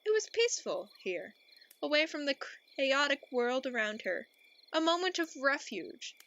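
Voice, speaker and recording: A woman playing an earnest, easily exasperated storyteller in a radio play, with a theatrical, deliberate delivery. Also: high-pitched, sing-song, a bit bright, dull audio, heavily acted. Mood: grave, dramatic. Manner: melodramatic, deliberate.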